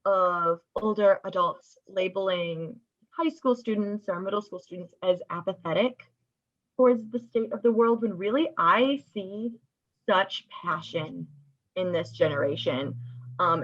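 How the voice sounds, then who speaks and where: A woman's voice: fairly low pitch, a little slow. A woman in her early twenties giving earnest, articulate, thoughtful answers as an interview guest. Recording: slightly dull, lo-fi sound.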